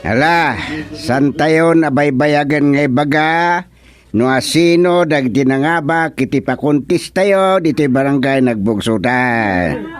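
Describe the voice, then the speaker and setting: A man acting an excited villager in a radio comedy drama, with an Ilocano accent. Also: mid-range voice, a little slow, soft background music, natural voice. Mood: curious.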